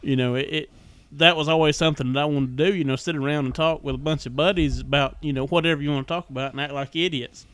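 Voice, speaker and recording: A man's voice: fairly high pitch, steady tone, fast, few pauses, a bit bright, clean tone, dry recording. A man with a Southern US accent chatting casually as a podcast host, telling things in a folksy, rambling way. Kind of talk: casual chat.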